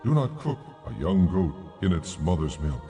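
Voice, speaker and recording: An actor playing God as a solemn, stern lawgiver in a dramatized audio Bible, speaking gravely and with deliberate authority. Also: male voice, deep voice, big pitch swings, mellow, faint music behind. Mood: stern, commanding.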